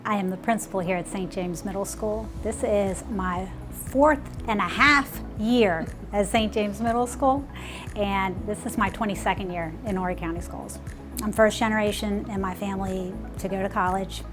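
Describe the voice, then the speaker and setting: Woman, 40s, school principal; earnest, reflective interview voice, mid pitch, music bed underneath. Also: normal speed. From United States. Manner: earnest, uses "like" and "you know" often.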